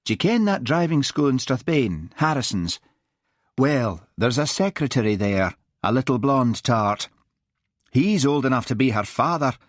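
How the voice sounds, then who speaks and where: A man's voice: medium pitch, expressive, unhurried, no room sound, studio quality, voice pushed up, close to an everyday voice. A man voicing a gossipy, blunt hotel manager with a Scottish accent.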